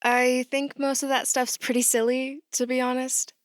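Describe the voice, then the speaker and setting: A high, young female voice, recorded dry and close: an actress playing an earnest new recruit out of her depth. She talks casually and plainly, as in a naturalistic audio drama. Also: level tone, normal speed, no echo, lightly acted. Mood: polite, eager. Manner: questioning, casual, plain-spoken.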